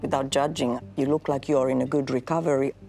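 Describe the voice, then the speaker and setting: Woman, low voice, expressive, doctor on a dinner show. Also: a little fast, gravelly, soft background music. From Finland. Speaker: medical doctor. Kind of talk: reality show dinner and interview.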